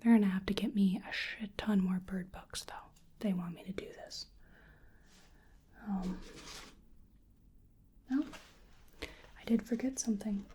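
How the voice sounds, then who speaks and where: A woman doing soft-spoken ASMR roleplay, largely whispering, gently and hesitantly murmuring to the listener in a breathy voice. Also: mid-range voice, unhurried, pauses often.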